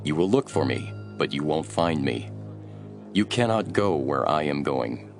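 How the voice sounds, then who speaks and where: Man playing an earnest teacher with animated, declaiming delivery. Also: extremely low pitch, a little slow, faint music behind, exaggerated voice. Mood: solemn. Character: Jesus, a bold, earnest male teacher addressing crowds. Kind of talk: audio Bible drama acting.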